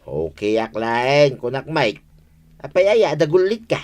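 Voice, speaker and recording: A man's voice: medium pitch, big pitch swings, unhurried, darkish tone. A man playing a moody village husband in a comedy radio drama. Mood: sullen.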